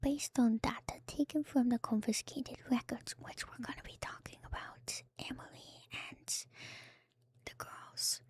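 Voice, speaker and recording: A woman streamer whispering softly and breathily in an ASMR style, musing gently and hesitantly through game lore commentary close to the microphone. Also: fairly high pitch, steady tone, unhurried, breathy edge. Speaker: streamer, VTuber host. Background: music.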